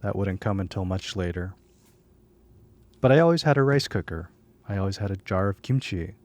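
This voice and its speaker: A man's voice: deep voice, animated, moderate pace, darkish tone, dry recording. A young man from the United States, whose first language was Korean, narrating his personal film in a reflective, candid, wry voice-over. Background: guitar music.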